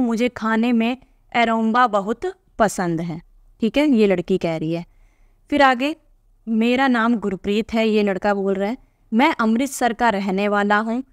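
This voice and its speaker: A woman's voice: a little high, dry recording, clean studio sound. A woman teacher reading aloud from a textbook and patiently explaining each answer to young pupils.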